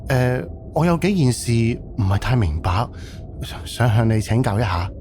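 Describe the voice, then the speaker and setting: A man's voice: mid-range voice, big pitch swings, normal speed, background noise, natural voice. A man acting a young, polite and inquisitive haunted-house owner in a Cantonese audio drama, asking hesitant questions. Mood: polite, probing. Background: background music.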